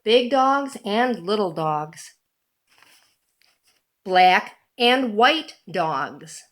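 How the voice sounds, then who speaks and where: A woman reading a children's picture book aloud in a deliberate, animated storytelling manner. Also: medium pitch.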